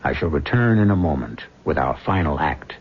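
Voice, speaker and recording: An actor playing a sardonic, macabre mystery host, narrating a 1970s radio drama in a wry, deliberate, theatrical way. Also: male voice, a bass voice, lively intonation, very noisy. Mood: wry, ominous. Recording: dull, lo-fi 1970s radio recording. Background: music.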